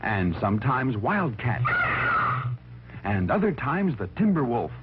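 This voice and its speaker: Animated male storyteller on a thin vintage recording. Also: mid-range voice, a little slow, very noisy. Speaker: narrator. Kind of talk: dramatic scripted storytelling for children. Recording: thin, phone-like vintage recording with music behind. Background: music.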